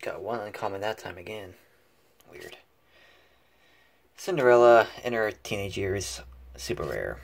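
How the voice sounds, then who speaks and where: A man's voice: fairly low pitch, very animated, measured pace, creaky, long pauses. A man giving casual unboxing commentary in short, muttered, fragmentary remarks. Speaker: card pack opening host. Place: indoors at a table covered with cards.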